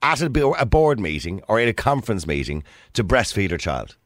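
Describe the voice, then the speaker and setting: Male Irish phone-in host, lively delivery, argumentative, conversational, close dry sound. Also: lowish voice, brisk, no echo. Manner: argumentative, interrupting and probing. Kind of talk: conversational talk radio debate. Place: radio studio.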